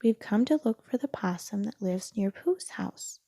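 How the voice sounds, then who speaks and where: A woman voicing a gentle, caring mother kangaroo in a storybook, with a motherly way of talking. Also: medium pitch, animated, a little slow, husky, no echo, studio quality.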